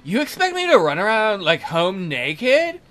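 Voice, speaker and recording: A man's voice: sits high, huge pitch swings, measured pace, fairly bright tone, soft background music, pitched above the performer's own voice, full character voice. A man voicing a ditzy young maiden who drawls and says "like" constantly, in a visual novel playthrough. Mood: shocked. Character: ditzy young maiden with valley-girl speech.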